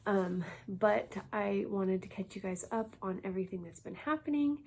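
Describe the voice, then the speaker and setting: Congested young woman with a gravelly voice. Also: mid-range voice, lively intonation, moderate pace, darkish tone, a little hiss or hum. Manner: chatty, frequent ums, addresses viewers directly. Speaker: realtor. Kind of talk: casual educational vlog.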